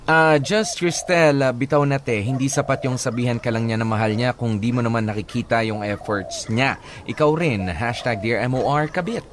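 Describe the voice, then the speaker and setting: A man with a Filipino accent co-hosting a radio advice show, talking casually with many halting pauses and some English. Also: medium pitch, background noise. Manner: halting, filler-heavy, mixes in English. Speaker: radio co-host. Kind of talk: casual radio advice talk, reading listener comments.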